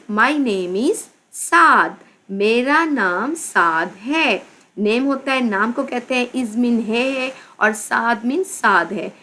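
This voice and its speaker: Pakistani lady teacher, slow careful reading, word by word explaining, kinda high voice. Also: a bit bright. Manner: reads English slowly then explains each word; addresses pupils as "beta". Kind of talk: slow textbook lesson with translation.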